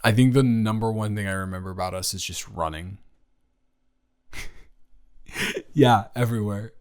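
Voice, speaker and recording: A young man playing a flustered, tender college student talking intimately to a childhood crush in an improvised audio roleplay, stammering and halting. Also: on the low side, clean tone, close to an everyday voice. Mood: nostalgic, tender.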